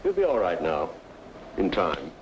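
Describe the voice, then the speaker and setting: An actor playing a genial, self-assured small-town doctor in an old-time radio drama, with a chatty clinical manner. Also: male voice, deep voice, very animated, measured pace. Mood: explanatory. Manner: clinical, chatty, slightly florid. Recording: dull, faintly noisy old radio recording.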